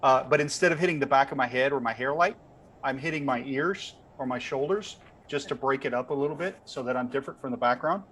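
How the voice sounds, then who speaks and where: A man with a mid-pitched voice talks through a technical explanation in an informal way. He hedges and keeps it conversational, like a webinar panelist at home, with noticeable noise behind him. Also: fast talker, fairly bright tone. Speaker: panelist on lighting gear. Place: room at home.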